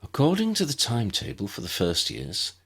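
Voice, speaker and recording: A man's voice: mid-range voice, wildly swooping pitch, brisk, fairly bright tone, breathy edge, voice pushed up, full character voice. A man voicing a friendly, helpful young schoolgirl witch in an audiobook, chatty and reassuring as she explains things. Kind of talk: audiobook character voice.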